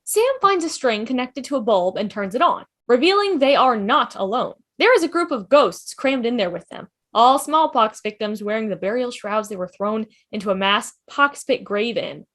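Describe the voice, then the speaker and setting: A woman's voice: a little high, lively intonation, normal speed, few pauses, a bit bright. A woman reading stage directions expressively as scripted narration for a table read over a video call.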